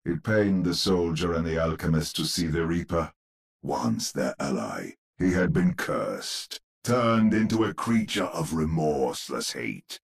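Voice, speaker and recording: An actor playing a grim narrator of gothic horror tales in a video game, with a gravelly, husky voice and grandiose, suspenseful delivery. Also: male voice, a bass voice, expressive, unhurried, heavily acted. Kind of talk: theatrical gothic horror storytelling.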